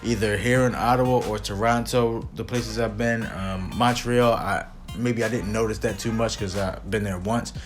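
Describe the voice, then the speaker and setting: Man, 30s, deep-ish casual co-host who meanders through his points. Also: steady tone, moderate pace, hardly any pauses, faint music behind. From United States. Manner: rambling, frequent "you know" fillers. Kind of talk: casual chat.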